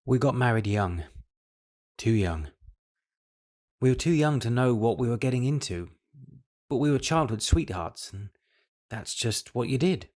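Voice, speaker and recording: An actor with a British accent playing a regretful middle-aged husband, speaking in a measured, reflective way in an audio drama. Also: male voice, medium pitch, animated, darkish tone, lightly acted. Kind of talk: confessional audio drama monologue.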